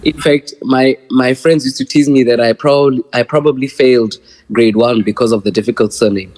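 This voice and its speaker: A man's voice: medium pitch, steady tone, a little fast, darkish tone, poor audio. A South African man in his thirties, a guest in a casual interview, friendly and laughing, often repeating words. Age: 30s. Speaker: poet, guest.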